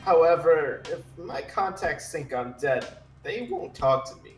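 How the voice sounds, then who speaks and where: A man's voice: a little high, animated, unhurried, soft background music. A man playing a hesitant, flippant small-time drug dealer in a tabletop game, casual and self-deprecating. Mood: nervous, resigned. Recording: roomy, distant microphone.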